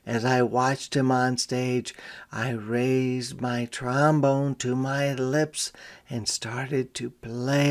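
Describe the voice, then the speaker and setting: A man reading a picture book aloud to young children as a teacher, with expressive, deliberate delivery and simple explanations. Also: medium pitch. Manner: dramatic, deliberate delivery with emphasized character lines.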